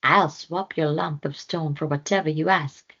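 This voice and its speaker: A woman's voice: deep voice, steady tone, a little slow, fairly bright tone, muffled recording, exaggerated voice. A woman voicing a cackling, scheming hyena in a storybook, rude and dismissive. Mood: mocking.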